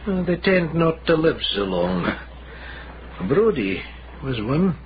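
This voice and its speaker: Halting old Scottish man, high-pitched. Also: big pitch swings. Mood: admiring, amused. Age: older. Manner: halting, folksy, wryly morbid. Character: weary, kindly old Edinburgh coffin maker with failing eyes. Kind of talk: radio drama acting. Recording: dull, noisy radio drama recording.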